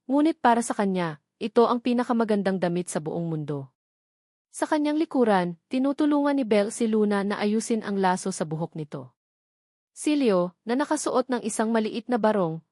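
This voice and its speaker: A woman with a Filipino accent narrating a scripted love story in a measured, sentimental way, in short dramatic phrases. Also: medium pitch, darkish tone, no echo, clean studio sound.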